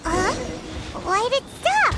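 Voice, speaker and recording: A tiny, excitable fairy sidekick, squeaks in an extremely high childlike voice. The pitch bounces around with eager, cheeky energy. Loud video game music plays behind it. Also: female voice, takes it slowly, heavily acted.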